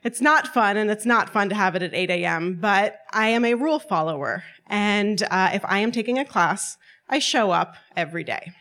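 A woman's voice: medium pitch, moderate pace. A woman in her twenties telling a personal story to a live audience on stage, wry and often laughing as she talks. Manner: lively, wry, often laughing through lines.

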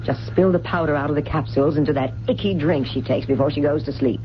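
A woman's voice: low-pitched, fast, close to an everyday voice. An American woman acting a cold, scheming younger woman in a radio drama, hard and commanding. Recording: dull, noisy old radio broadcast.

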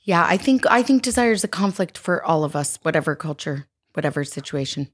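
A woman with a notably low voice speaks as a guest on a podcast. She is a psychotherapist and author who explains her ideas in a thoughtful, articulate way. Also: lively intonation, a little fast. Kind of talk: casual interview.

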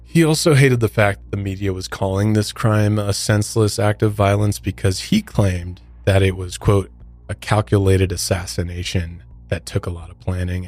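A man's voice: sits low, darkish tone. A man hosting a podcast, moving between prepared narration and casual discussion. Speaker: true crime podcast host. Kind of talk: recounting a case, part scripted narration, part casual discussion.

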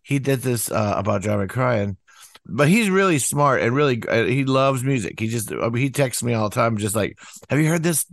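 A man musician talking casually in an interview, rambling with lots of "you know" fillers and acting out quotes in a higher voice. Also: lively intonation, fairly quick, creaky, no echo, clean studio sound. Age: older. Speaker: musician guest.

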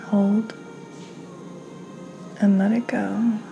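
Slow, soft-spoken woman guiding a meditation. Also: medium pitch, muffled recording, faint music behind. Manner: calm, soft, gentle, often breathy near-whisper. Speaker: podcast host, meditation guide. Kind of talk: guided meditation, slow and soothing.

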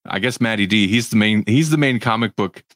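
A man's voice: lowish voice, brisk, fairly bright tone, no echo, clean studio sound. A man hosting a podcast, chatting loosely with friends and laughing often. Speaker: podcast host. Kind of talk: casual chat.

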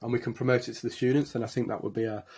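A man with a Yorkshire accent talks as a podcast guest, his voice staying flat and level in pitch. He fills his sentences with frequent uh and um as he tells his story. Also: very fast, creaky, husky. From Yorkshire, England. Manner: many uh and um fillers, flat delivery. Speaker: guest, marketing agency director.

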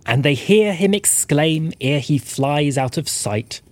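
A man's voice: fairly high pitch, lively intonation, takes it slowly, no room sound. A man narrating a scripted story in rhyme, like a dramatic storybook teller. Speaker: co-narrator and writer.

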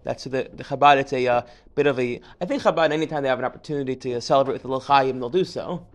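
A man's voice: medium pitch, quick pace. A man giving an informal history lecture, chatty and digressive, often restarting sentences and dropping joking asides.

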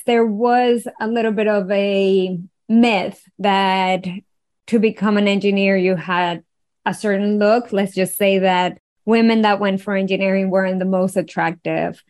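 A middle-aged woman from Venezuela with a Venezuelan accent, a podcast guest telling her story in an animated, playful way with frequent laughs. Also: medium pitch, a little slow. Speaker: guest, wellness engineer. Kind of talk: conversational storytelling.

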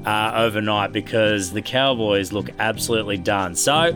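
A man's voice: fairly low pitch, normal speed, music in the background. An Australian man hosting a podcast in a casual, bantering sports chat style. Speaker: podcast host.

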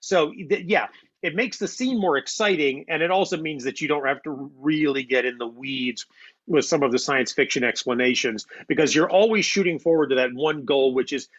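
A man's voice: fairly high pitch, normal speed, a bit bright, muffled recording. A man explaining his craft conversationally, as a writer being interviewed. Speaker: playwright and audio drama writer.

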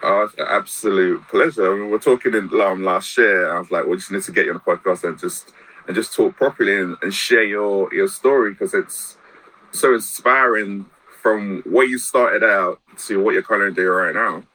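A man's voice: on the low side, moderate pace, sharp tone, no echo, rough recording. A man, hosting a podcast and interviewing a guest with enthusiastic praise and plenty of fillers. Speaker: podcast host.

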